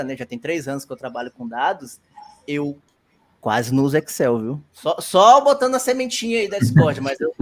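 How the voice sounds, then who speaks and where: A Brazilian man working as a data analyst, introducing himself in a lively, chatty way on an online class. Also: fairly high pitch. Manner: lively, chatty, laughs easily.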